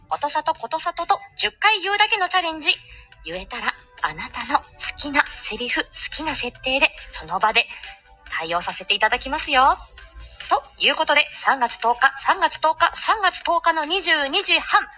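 A woman puts on a perky young female announcer for a contest commercial, very high and swooping widely. The delivery is bubbly, theatrical and emphatic, in a dull lo-fi playback with music behind it. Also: big pitch swings, normal speed, pushed and bright, full character voice. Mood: coaxing. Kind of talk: promotional commercial read. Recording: muffled lo-fi playback over music.

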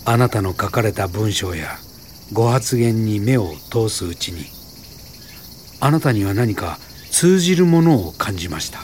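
An actor playing a gentle, earnest Buddhist priest in a radio drama, speaking formally and politely without hurry. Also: male voice, lowish voice, expressive, measured pace, a little hiss or hum.

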